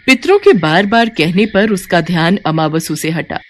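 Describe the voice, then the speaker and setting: A woman's voice: fairly low pitch, expressive, moderate pace. A woman storyteller reading a scripted devotional narration.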